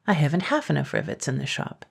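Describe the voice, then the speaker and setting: A woman voicing a polite, quick-witted male blacksmith, with a low husky voice. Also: very animated, heavily acted. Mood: brisk. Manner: polite, brisk. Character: humble clever village blacksmith, a man.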